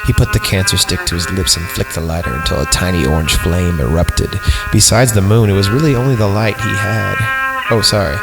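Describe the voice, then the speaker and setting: Man, lowish voice, podcast host, sarcastic story reading, music bed underneath. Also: fairly quick, poor audio. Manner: sarcastic asides, breaks into laughter, mock-reads punctuation. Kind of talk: comedic reading of a horror story with commentary.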